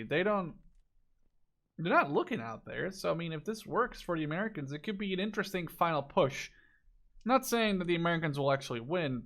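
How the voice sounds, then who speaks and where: Male game commentator, mid-pitched voice, casual and unscripted, rambling as he thinks aloud. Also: fairly bright tone. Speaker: video game spectator commentator.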